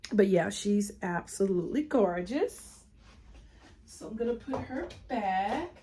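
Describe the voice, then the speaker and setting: A woman's voice: mid-range voice, dark tone, slight background noise. A woman giving a casual plant collection tour, congested from a cold, chatty with frequent ums and restarts. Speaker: plant vlogger.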